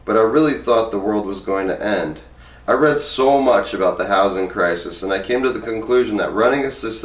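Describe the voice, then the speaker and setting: A man reading book excerpts aloud in an informal, plain-spoken way. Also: on the low side. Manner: informal, plain-spoken, reads both sides of interviews in own voice. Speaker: book reader, presenter. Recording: roomy, echoey, distant microphone.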